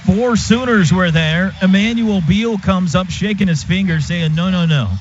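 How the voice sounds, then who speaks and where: High-voiced American man calling football on radio. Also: moderate pace. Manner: clipped, vivid phrases that follow each play. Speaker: play-by-play radio announcer. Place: stadium radio booth. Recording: dull, noisy live radio broadcast.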